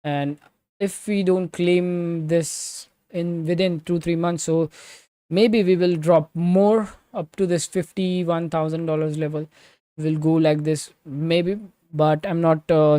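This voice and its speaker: A man from Switzerland giving live crypto market commentary and answering chat, halting with many fillers, restarts and loose grammar. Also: fairly high pitch, level tone, dark tone, clear, resonant tone, dry recording. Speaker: crypto trading livestreamer.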